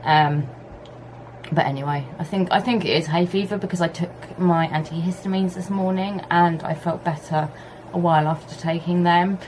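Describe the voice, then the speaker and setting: A woman chatting casually to camera at home with a husky, hoarse voice, rambling in an easygoing way. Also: fairly low pitch, steady tone, normal speed. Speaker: vlogger. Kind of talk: casual vlog chat to camera. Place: home on a hot day, fan running. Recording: faint background hum of fan. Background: fan and pressure washer outside.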